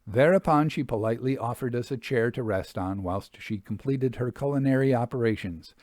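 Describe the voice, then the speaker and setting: A man reading a Victorian account aloud as a storyteller, in a formal, measured literary manner. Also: mid-range voice, darkish tone, dry recording, studio quality. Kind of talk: expressive scripted narration of a Victorian travel account.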